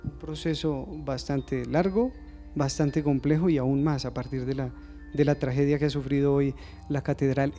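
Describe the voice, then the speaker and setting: A man with a Colombian accent hosting a live news interview, deliberate and often searching for his words. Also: mid-range voice, mellow.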